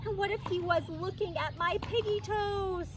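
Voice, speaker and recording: A woman reading a children's story as a teacher would, in animated narration, recorded outdoors in a park. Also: extremely high pitch, normal speed.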